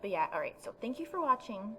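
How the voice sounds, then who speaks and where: A woman's voice: medium pitch, sing-song, fast, a bit bright, a little hiss or hum, poor audio. A woman hosting a casual let's play, giving informal, opinionated commentary. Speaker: gaming video host.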